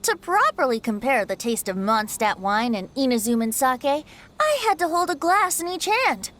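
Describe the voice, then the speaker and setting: A woman's voice: high-pitched, very animated, moderate pace, fairly bright tone. A woman voicing a cheerful, carefree young male bard in a video game, light and boyish, with a whimsical storytelling manner. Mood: earnest, carefree.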